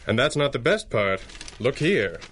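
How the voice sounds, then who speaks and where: Measured, mid-pitched masked hero. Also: male voice, sing-song, noisy background. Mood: wry. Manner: measured, articulate, dry. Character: resolute, cerebral masked male superhero, gentlemanly leader. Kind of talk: 1930s-style radio drama acting.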